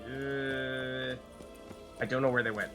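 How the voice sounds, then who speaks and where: A man's voice: mid-range voice, fairly even intonation, slow, over music. A man giving casual live commentary on a video game, chatting and thinking aloud as he plays. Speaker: game streamer, host.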